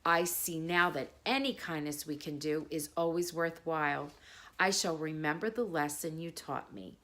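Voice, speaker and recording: A woman voicing a big, proud male lion in a bedtime story, with drawn-out, declamatory delivery. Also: low voice, fairly bright tone. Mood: grateful.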